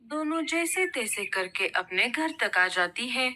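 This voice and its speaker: Bright, high-voiced woman storyteller. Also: expressive, unhurried. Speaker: narrator. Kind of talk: scripted children's story narration. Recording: noticeable background noise.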